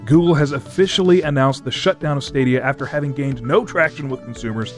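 A man's voice: medium pitch, fairly quick. A man hosting a scripted entertainment news segment, reading as narration. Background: music.

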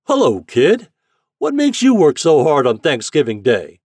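A man's voice: lowish voice, big pitch swings, normal speed, a little mellow, breathy edge, no echo, studio quality, voice pushed up. A man voicing a jolly, kind riverboat captain who roars and calls out heartily in a storybook. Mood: hearty shouting. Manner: roaring, calling out through a trumpet.

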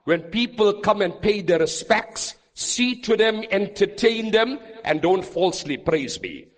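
A man with a high-pitched voice rings out in a long, echoing hall. He preaches an Islamic sermon with dramatic emphasis, leaning on repetition and rhetorical questions in an impassioned delivery. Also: big pitch swings, a little slow. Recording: long reverberant hall echo.

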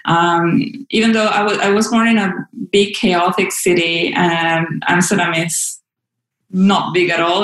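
A woman's voice: medium pitch, echoey room, rough recording. A woman from Venezuela whose first language is Spanish, speaking as an interview guest over a video call, hesitant and full of ums and restarts. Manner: hesitant, many ums and restarts, laughs often. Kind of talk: casual remote interview.

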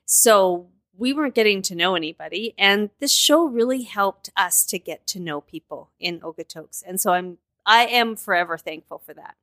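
A woman's voice: medium pitch, lively intonation, normal speed, hardly any pauses, fairly bright tone, no room sound. A woman guest who hosts her own show, talking enthusiastically and at length in a casual interview at a film festival. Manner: enthusiastic, talkative.

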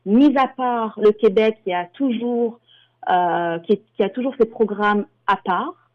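A woman's voice: mid-range voice, takes it slowly. A woman from France, an immigration lawyer, explaining things as a radio guest with many hesitations. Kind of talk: interview answers. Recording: tinny phone line.

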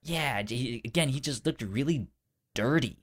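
A man's voice: medium pitch, measured pace. Man with a pronounced stutter, chatting casually. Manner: frequent stuttering, repeating sounds and syllables. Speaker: podcast co-host. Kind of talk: casual film discussion.

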